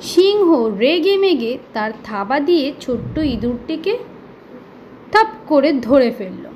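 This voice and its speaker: A woman narrating a children's story expressively, with deliberate, dramatic phrasing. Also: sits high, big pitch swings, lo-fi sound, slight background noise.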